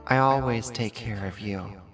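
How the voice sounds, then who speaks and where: Performer acting possessive yandere neighbor, medium pitch, slow deliberate coaxing, sweetly menacing, intimate ASMR roleplay, music underneath. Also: natural voice. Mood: falsely caring.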